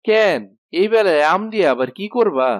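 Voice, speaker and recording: A man's voice: sits high, expressive, moderate pace, no echo, studio quality. A man voicing an easygoing village husband in a fairy-tale cartoon, with a colloquial Bangladeshi accent and rustic, casual talk. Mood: matter-of-fact.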